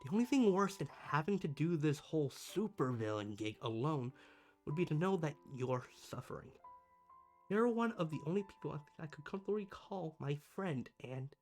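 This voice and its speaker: A man playing a needy but kind-hearted supervillain in a roleplay ASMR monologue, speaking to the listener in a dramatic, earnest, halting way. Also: fairly high pitch, a little mellow, close to an everyday voice. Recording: music behind the voice. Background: background music.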